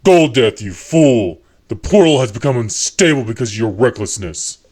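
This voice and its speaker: A commanding male alien leader from an audio drama, acted by a man. He speaks with urgency and drama, his mid-pitched voice swinging widely. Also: expressive, normal speed, a little mellow, breathy edge. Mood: urgent shouting. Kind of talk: fan audio drama acting.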